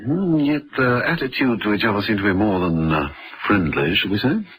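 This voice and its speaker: A man in a vintage radio drama playing a suave, witty British gentleman adventurer who teases urbanely with drawn-out pauses. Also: lowish voice, lively intonation, slight background noise, low-quality recording. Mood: musing. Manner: urbane, teasing, drawling pauses.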